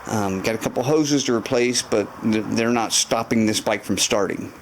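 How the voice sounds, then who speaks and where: A man's voice: lowish voice, normal speed, a little hiss or hum, low-quality recording. A man in his garage talking through a motorcycle repair to camera, thinking aloud with lots of fillers and restarts. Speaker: motorcycle restoration vlogger. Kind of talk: casual garage walkthrough to camera. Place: home garage.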